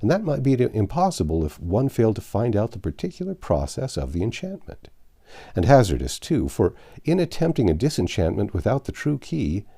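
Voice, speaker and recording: A confident, wry American storybook hero, acted out by a male reader, talks with easy assurance. His voice is mellow and a bit gravelly, with very lively intonation and an explanatory manner. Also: on the low side, a little fast, heavily acted. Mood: patiently explaining. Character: confident, wry American man humoring a damsel.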